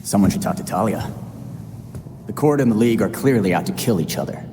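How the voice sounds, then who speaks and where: A man's voice: fairly low pitch, animated, fairly quick, warm tone, long reverb tail, lightly acted. An actor playing an angry, blunt young male vigilante who broods, in a video game cutscene. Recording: clear background noise under dialogue.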